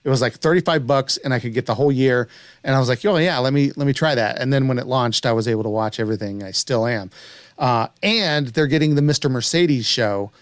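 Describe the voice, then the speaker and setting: Low-voiced man in casual podcast chat. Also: fairly quick, no room sound. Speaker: podcast co-host. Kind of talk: casual chat about streaming and TV shows.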